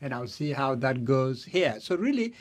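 A middle-aged man from Uganda, answering interview questions in a measured, deliberate way, stressing lists of points with pauses. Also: medium pitch, very animated. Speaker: candidate being interviewed.

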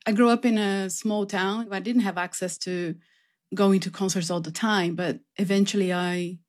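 A woman's voice: medium pitch, steady tone, moderate pace, darkish tone. A woman from southern Brazil with a Brazilian accent, speaking conversationally about her own life as an interview guest. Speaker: interview guest, classical singer. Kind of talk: conversational personal storytelling.

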